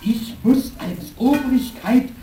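A man's voice: extremely high pitch, slow, warm tone, slight background noise. An actor playing a pompous, officious village headman who talks in stilted officialese, in theatrical radio play style. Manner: stilted, self-important officialese. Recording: echoey, distant, music behind.

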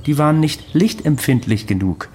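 An actor in a radio play playing an insistent, knowing conspiracy believer who explains confidently. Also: male voice, on the high side, expressive, normal speed, a little mellow, natural voice. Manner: confident, didactic.

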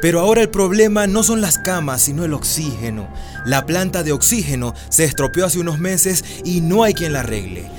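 Exasperated doctor, music behind. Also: male voice, a little high, sing-song, fairly quick. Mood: weary, frustrated. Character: exasperated, overburdened male hospital doctor. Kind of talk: radio drama acting.

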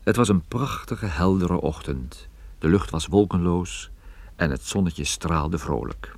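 An actor playing a private detective who recounts his case in a measured, observant way, as first-person radio play narration. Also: low voice, darkish tone, no echo. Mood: calm suspense. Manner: measured, descriptive storytelling.

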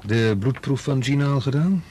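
A man playing a brisk, condescending laboratory boss in a radio drama, authoritative and matter-of-fact. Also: mid-range voice, darkish tone, slight background noise, close to an everyday voice.

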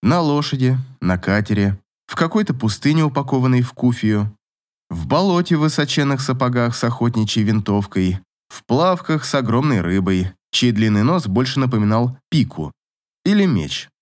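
A man's voice: medium pitch, lively intonation, moderate pace. A man reading scripted narration from a romance novel as an audiobook narrator.

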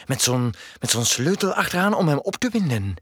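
A man's voice: mid-range voice, very animated, fairly quick, a bit bright, husky. A man playing a fussy, scheming imperial chamberlain in a children's audio drama, fawning and stammering.

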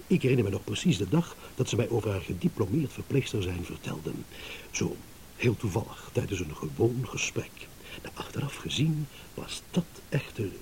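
Theatrical, ironic man, animated delivery. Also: lowish voice, rough recording. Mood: wry, reflective. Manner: theatrical, deliberate, ironic, with dramatic pauses. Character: married motorist delivering a shrewd, self-satisfied accusing monologue. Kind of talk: Dutch radio play monologue. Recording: hissy old radio play recording.

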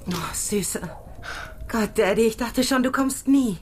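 A woman's voice: on the high side, slow, very noisy. A woman playing a wily young femme fatale in a German radio crime drama, sweet-talking and urgent. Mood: urgent, coaxing.

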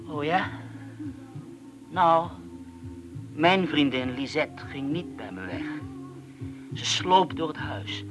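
A haunted loner in a radio play, speaking in a slow, brooding way with sudden hushed drops. His voice sits a bit high for a man. Music plays clearly behind him. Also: expressive. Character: unsettled man, oversensitive to noise, speaking bleakly and calmly. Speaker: troubled man being questioned about a friend's death.

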